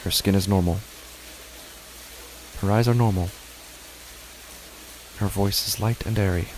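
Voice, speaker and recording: A man narrating a first-person horror story in a measured, tense way. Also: lowish voice, fairly even intonation, darkish tone, a little hiss or hum.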